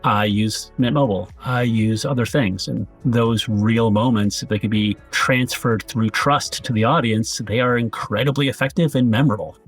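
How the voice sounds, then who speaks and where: A man's voice: medium pitch, normal speed, hardly any pauses, slightly rough, soft background music. A man speaking as a podcast guest, giving conversational advice.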